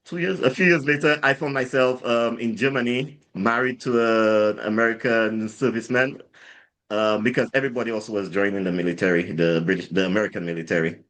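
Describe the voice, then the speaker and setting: A man's voice: fairly low pitch, fairly quick. A man from Saint Lucia with a Saint Lucian accent, a guest on a podcast interview, telling his story casually with many fillers and restarts, livening up as he recounts events. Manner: rambling, many fillers and restarts, animated when retelling. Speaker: guest, drinks brand founder. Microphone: podcast mic.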